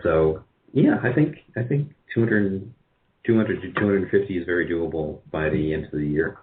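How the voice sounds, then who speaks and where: Very deep-voiced man on a phone line. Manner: halting, self-deprecating. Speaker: guest, radio drama producer. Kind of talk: casual interview answers.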